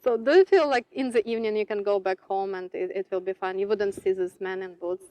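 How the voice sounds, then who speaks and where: A woman's voice: medium pitch, a little fast, warm tone, rough recording. A woman interviewing a guest and hosting her channel, asking questions in plain, simple phrasing.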